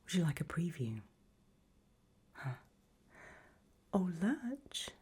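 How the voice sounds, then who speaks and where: An elegant, macabre gothic matriarch, played by a woman, receives a guest in an ASMR roleplay. Her whisper is very breathy and mellow, with little edge. She takes her time, languid and gracious in every phrase. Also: on the low side, very animated, slow, full character voice. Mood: gracious, fond. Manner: languid, gracious, soft-spoken.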